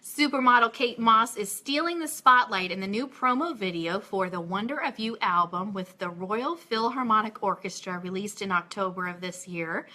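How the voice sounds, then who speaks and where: A woman hosting a casual live radio show with gushing, excitable, chatty delivery, moving between chat and news items. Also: medium pitch, moderate pace, few pauses.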